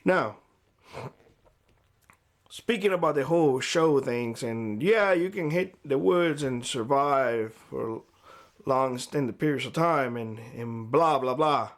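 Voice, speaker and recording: A man speaking straight to camera in a vlog, giving blunt, lecturing advice in a hoarse voice. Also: medium pitch, expressive, slow. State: hoarse, losing his voice. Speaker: prepper YouTuber. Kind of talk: direct-to-camera vlog monologue. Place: homestead.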